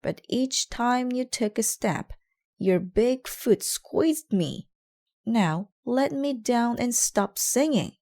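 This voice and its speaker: A tiny, grumpy storybook mouse acted out by a woman. The voice is pitched up and peevish, complaining like someone woken from a nap. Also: expressive, takes it slowly, darkish tone. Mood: irritated. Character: small grumpy sleepy mouse.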